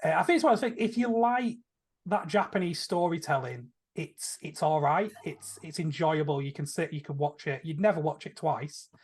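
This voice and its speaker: A man co-hosting a podcast, talking in a measured, conversational way. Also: on the high side, slightly rough. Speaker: film podcast co-host. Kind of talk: casual discussion.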